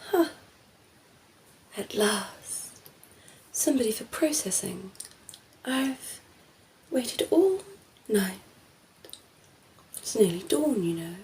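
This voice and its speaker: A woman voicing a ditzy, flirty female vampire in an ASMR roleplay, speaking softly and breathily, often in a whisper, with a coy, teasing manner. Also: fairly high pitch, big pitch swings, slight background noise, heavily acted, poor audio. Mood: delighted, coy. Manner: slow, teasing, coy, giggly.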